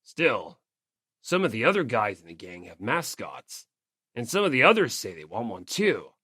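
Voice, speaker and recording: A performer voicing a brash, gruff teenage male biker gang leader in an audiobook, blunt, proud and slangy. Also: medium pitch, sing-song, moderate pace, pushed and bright, no room sound, clean studio sound, pitched below the performer's own voice, full character voice. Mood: awkward. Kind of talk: audiobook character acting.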